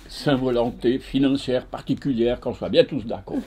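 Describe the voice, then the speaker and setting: A man's voice: mid-range voice, fairly even intonation, normal speed. An older man, a retired station master, chatting jovially about a small venue and laughing easily. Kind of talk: casual interview chat. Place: small cosy workshop, indoors.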